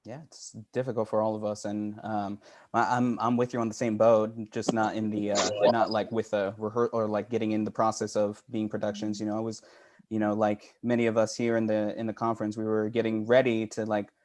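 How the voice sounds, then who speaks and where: A man's voice: lowish voice, level tone, a little fast, darkish tone. A man casually moderating a roundtable conversation.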